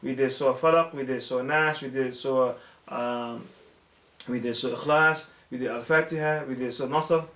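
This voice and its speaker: A man in his thirties, a father and religious teacher, gives an informal talk to viewers with many pauses, fillers and restarts. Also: medium pitch, fairly even intonation, fairly bright tone. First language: English. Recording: echoey room.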